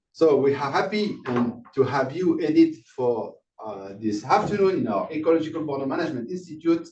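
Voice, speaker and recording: A man with a French accent introducing the guest speaker at a conference session, halting and correcting himself as he goes. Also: medium pitch, mellow. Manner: halting, with frequent restarts. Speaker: session moderator. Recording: roomy, distant, dull sound with faint noise.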